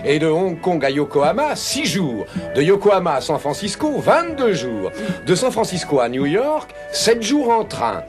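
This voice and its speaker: High, lively, confident gentleman. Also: moderate pace. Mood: precise, methodical. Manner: courteous, assured, enunciating. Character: composed, confident, precise English gentleman, youngish. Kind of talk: dubbed cartoon acting. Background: music.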